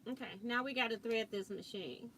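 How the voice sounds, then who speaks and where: A woman hosting a live sewing stream, chatting casually and thinking aloud as she works. She has a loud voice and chuckles often. She is recorded on a laptop mic about four feet away in a home sewing room. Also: fairly high pitch, a little fast, a little hiss or hum. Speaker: quilting and sewing livestream host. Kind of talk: casual live demo chat. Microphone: built-in laptop mic about four feet away.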